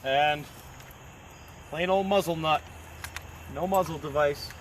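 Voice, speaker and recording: Brief: relaxed, plainspoken male reviewer, voice sitting high, noisy outdoor night recording with crickets behind. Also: slow. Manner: relaxed, plainspoken, thinking aloud. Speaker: gun reviewer filming a test. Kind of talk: casual outdoor commentary.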